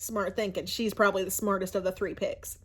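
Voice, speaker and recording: A woman reading a storybook aloud to children in an expressive, teacherly way, pausing to explain and ask questions. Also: medium pitch, slightly rough. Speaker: school media coordinator reading to children.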